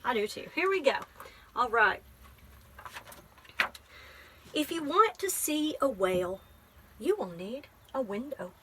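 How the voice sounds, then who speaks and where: A woman's voice: high voice, animated, normal speed, heavy background noise. A woman library teacher reading a picture book aloud, explaining things simply and prompting with questions.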